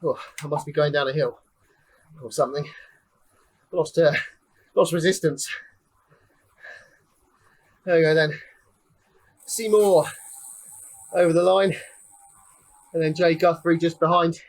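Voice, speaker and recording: A man's voice: fairly high pitch, fairly even intonation, unhurried, long pauses. A young man giving casual live commentary on a cycling race while riding, chatty and slightly out of breath. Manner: chatty, calling out finishers one by one. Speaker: ride leader and race commentator.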